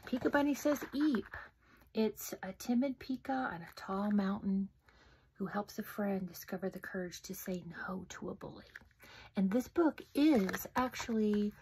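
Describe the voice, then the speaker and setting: Middle-aged woman, author reading her picture book to kids, unhurried and hushed, faint background noise. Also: mid-range voice, poor audio. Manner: soft, often whispered, expressive asides to children. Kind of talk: interactive children's story reading. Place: home room, indoors. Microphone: phone camera close up.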